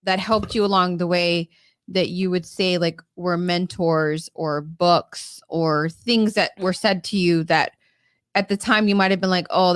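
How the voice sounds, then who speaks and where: A woman hosting a casual interview, asking questions in a halting way with restarts. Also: lowish voice, a bit bright, no room sound, clean studio sound.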